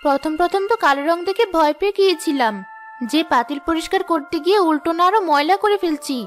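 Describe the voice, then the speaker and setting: A woman's voice: extremely high pitch, quick pace. A woman playing a proud, naive and discontented young wife in a cartoon fairy tale, plaintive and petulant. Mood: proud, eager. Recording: music behind the voice.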